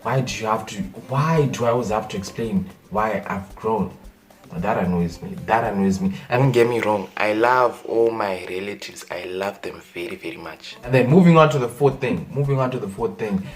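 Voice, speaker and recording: Young Zimbabwean guy, fairly low voice, lively comedic ranter, recorded from a distance. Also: moderate pace, faint music behind. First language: Shona. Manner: animated, exasperated, repeats himself. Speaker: vlogger. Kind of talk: casual comedic vlog rant.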